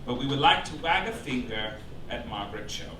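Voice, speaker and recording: A noisy, echoing live club recording carries a man's animated stage voice. He reads satirical rulings like a pompous chairman, arch and campy, landing each punchline with emphasis. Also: mid-range voice, brisk. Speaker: comedian presiding as chairman of a mock club. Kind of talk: scripted satirical stage monologue, read as official rulings. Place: live cabaret club stage before an audience. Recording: roomy live club recording, distant, loud noise. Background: audience laughter, loud room noise.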